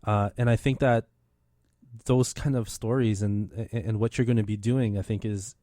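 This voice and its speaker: Excited man hosting a radio show. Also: on the low side, normal speed, no room sound, studio quality. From Philippine heritage. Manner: enthusiastic, rambling with many restarts. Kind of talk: casual radio interview.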